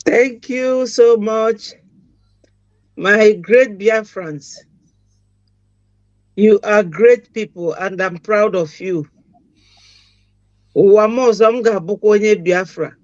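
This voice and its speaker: A woman's voice: medium pitch, slow pace, long pauses, a little mellow, no room sound. A woman, an elder activist, giving a proud and emphatic closing remark. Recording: dull lo-fi sound.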